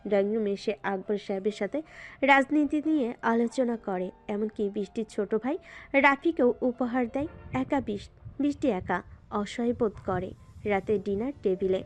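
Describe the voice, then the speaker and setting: A woman's voice: fairly high pitch, moderate pace, soft background music. A woman narrating a scripted romantic story in a dramatic style.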